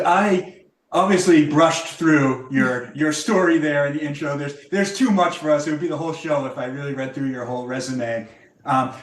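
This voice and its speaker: A man with a slightly high voice hosts a podcast, introducing and questioning a guest conversationally. His sound is roomy, with clear echo. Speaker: podcast host. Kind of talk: conversational interview hosting.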